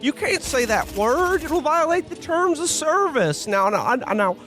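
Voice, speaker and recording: A man's voice: extremely high pitch, big pitch swings, a little slow, a bit bright, soft background music, full character voice. A man playing a panicky, earnest, stuttering old-time movie everyman in a comedic radio play. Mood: panicked. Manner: stuttering, repeats words.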